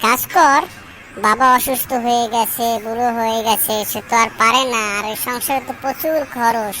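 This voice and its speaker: A woman's voice: fairly high pitch, steady tone, moderate pace, pushed and bright, faint music behind, low-quality recording. A woman voicing a caring young sister and dutiful daughter in a cartoon. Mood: pleading. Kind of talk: amateur cartoon voice acting in colloquial Bengali.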